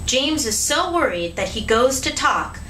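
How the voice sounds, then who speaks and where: A woman's voice: high-pitched, sing-song, measured pace, a bit bright, a little hiss or hum. A woman reading scripted educational narration as the narrator of a history show. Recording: roomy echo, music behind. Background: music.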